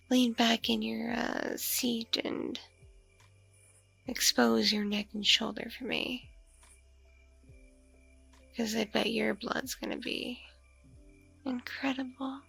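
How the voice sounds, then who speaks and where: A woman playing a seductive, commanding mature female vampire in an intimate ASMR roleplay, breathy, purring and often whispering. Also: a little high, a bit bright, exaggerated voice. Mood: hungry, coaxing. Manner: slow, drawn-out, purring, domineering.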